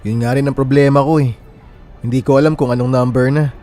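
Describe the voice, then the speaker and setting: A man's voice: medium pitch, steady tone, fast talker, dark tone, lightly acted. An actor playing a friendly young man in a radio drama, talking in a casual, conversational way. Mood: worried, chatty. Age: young adult. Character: friendly, worried young man waiting at a bus terminal. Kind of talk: naturalistic radio drama dialogue in Tagalog.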